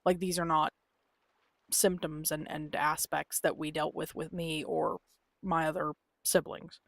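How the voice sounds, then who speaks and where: A woman's voice: sits low, flat delivery, measured pace, no room sound. A woman live-streaming a game, talking casually and candidly. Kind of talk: casual live-stream chat over gameplay. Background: video game music.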